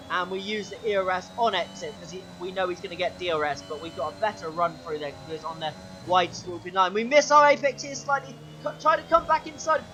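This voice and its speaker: High-voiced man with a sore throat. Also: a little hiss or hum. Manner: excitable play-by-play, pitch jumps when overtaking. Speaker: gaming channel host. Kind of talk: racing game commentary.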